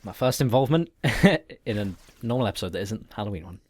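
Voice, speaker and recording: A commentator with a medium-pitched voice chats casually as an animator looking over the work. Cartoon dialogue runs behind the talk. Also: animated, brisk, a little mellow.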